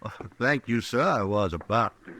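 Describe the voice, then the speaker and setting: An actor playing a smooth-talking, self-justifying frontier doctor in a radio western, glib, insistent and quick to take offense. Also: male voice, lowish voice, animated, pushed and bright, slight background noise. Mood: affable. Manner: glib, insistent, indignant when challenged. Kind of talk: old-time radio western acting.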